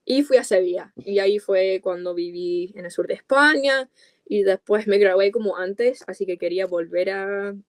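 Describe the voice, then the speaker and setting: A woman's voice: mid-range voice, moderate pace, no room sound. A woman in her twenties from the United States with English as her first language speaks in a mid-range voice. She chats casually and colloquially as a podcast guest. The sound is close and dry. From United States, California. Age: 20s. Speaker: podcast guest, content creator. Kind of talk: casual chat in an interview. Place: small dark room with coloured lights, video call.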